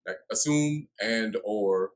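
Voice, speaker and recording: A man co-hosting a podcast, speaking thoughtfully with frequent pauses and restarts. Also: on the low side. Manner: thoughtful, hesitant with many "um"s and restarts. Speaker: podcast co-host. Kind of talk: casual conversational interview.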